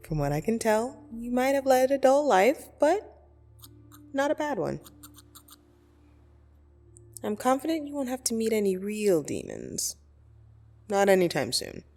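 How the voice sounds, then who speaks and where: A woman playing a blunt, teasing yet soothing dream demon in an ASMR roleplay, speaking deliberately and intimately with gentle condescension. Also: a little high, big pitch swings, exaggerated voice. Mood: blunt, candid. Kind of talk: ASMR roleplay audio drama. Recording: soft music behind the voice. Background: soft meditation music.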